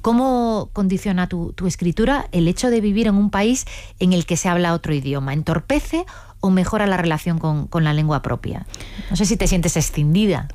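A woman's voice: lowish voice, normal speed, a little mellow, dry recording. A woman interviewer asking thoughtful literary questions, with frequent affirming murmurs. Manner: attentive, frequent backchannel affirmations.

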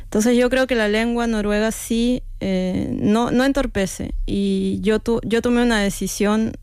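A woman's voice: on the high side, steady tone, a little slow, a little mellow, clear, resonant tone, dry recording, studio quality. A woman, interviewed as an author, talking reflectively with hesitations and frequent "¿no?" tags. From Peru. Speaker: author interviewed about her book. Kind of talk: conversational interview.

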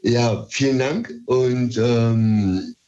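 Slow, nervous low-voiced man on a phone line. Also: steady tone, warm tone. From Berlin, Germany. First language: Turkish. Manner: hesitant, nervous, halting. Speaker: caller. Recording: dull phone-line call.

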